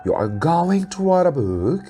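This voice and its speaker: A man's voice: fairly high pitch, very animated, measured pace, dark tone, soft background music, voice pushed up. A man voicing an eager, approving sister-in-law in a storybook reading, gushing and emphatic. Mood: gushing, delighted. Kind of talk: audiobook-style storybook reading.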